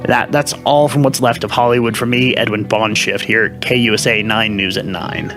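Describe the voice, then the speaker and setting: A man's voice: lowish voice, steady tone, normal speed, a bit bright, soft background music, lightly acted. A man playing a nervous, self-pitying entertainment reporter who stammers and rambles confessionally on a parody TV newscast. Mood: defeated.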